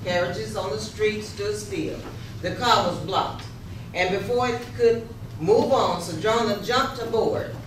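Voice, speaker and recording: Woman, readers theater narration, halting delivery, strong echo, clear background noise. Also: mid-range voice. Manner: halting, stumbling over words. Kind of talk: dramatic reading of historical narration. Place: echoey room, live rehearsal. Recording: echoey, distant, noisy rehearsal room.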